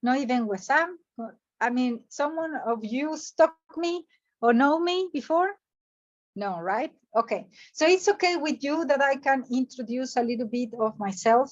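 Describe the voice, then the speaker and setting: Motivational speaker, woman, Mexican Spanish accent, high voice, lively questions to the audience, video call. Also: highs cut off. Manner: animated, asks the audience questions. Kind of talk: energetic online workshop talk.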